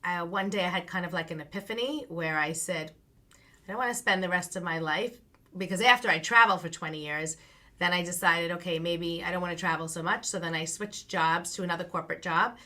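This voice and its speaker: A middle-aged woman with a strong Long Island, New York accent, talking in a chatty, storytelling way as a podcast guest. Her voice sits fairly low but sounds bright and forceful, and she laughs easily as she goes. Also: a little fast. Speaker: guest, business coach. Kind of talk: casual interview chat.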